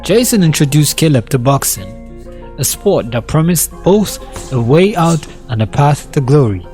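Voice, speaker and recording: A man's voice: a little high, sing-song, normal speed, dark tone, soft background music. A man narrating a scripted dramatic story as its storyteller.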